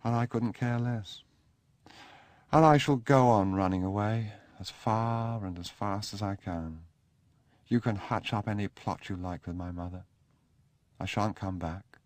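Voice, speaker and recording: A man's voice: fairly low pitch, measured pace, no echo, voice pushed up, close to an everyday voice. A man putting on a distraught young aristocrat. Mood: sullen. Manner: emotional, pleading, clipped upper-class delivery. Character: young English aristocrat man, drunk, wretched and sullen.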